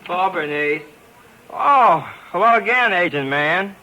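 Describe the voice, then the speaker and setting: A man's voice: high voice, lively intonation, unhurried, sharp tone, full character voice, poor audio. A man acting a timid, simple, friendly carnival fellow in a 1950s radio drama, speaking hesitantly and meekly. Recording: 1950s radio recording with faint noise.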